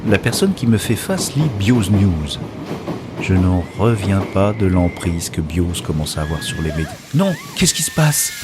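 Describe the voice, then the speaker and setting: A man acting as a low-voiced introspective narrator. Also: big pitch swings, a little slow, heavy background noise. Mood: alarmed, panicked. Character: introspective male narrator of a science-fiction story, gently wry observer. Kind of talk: first-person audio fiction narration.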